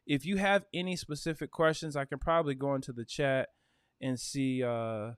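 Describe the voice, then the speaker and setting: A man's voice: medium pitch, fairly even intonation, moderate pace, clear, resonant tone, no echo, studio quality. Upbeat chatty realtor man. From Michigan. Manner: chatty, upbeat, with frequent fillers. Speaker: realtor hosting a livestream. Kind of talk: casual livestream talk.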